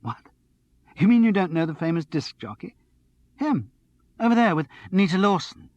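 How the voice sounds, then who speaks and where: A man reading an audiobook, voicing an excitable, slightly tipsy young woman who chatters on without pause. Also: high voice, highs cut off, pitched above the performer's own voice. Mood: giddy. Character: giddy, tipsy young woman studio manager.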